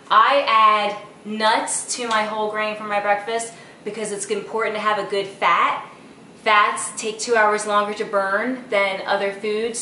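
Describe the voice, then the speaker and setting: A woman's voice: medium pitch, a bit bright. Chatty woman teaching in a roomy kitchen. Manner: chatty, instructive, fillers and asides. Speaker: cooking show host. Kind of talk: casual cooking demonstration. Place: home kitchen, indoors. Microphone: camera mic from across the room. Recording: roomy, distant camera audio with light background noise.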